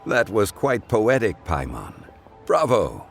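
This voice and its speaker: A man playing a calm, wise, scholarly gentleman adviser in a video game dub, speaking formally and deliberately. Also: lowish voice, gravelly, heavily acted. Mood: thoughtful, approving. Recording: faint music behind the voice.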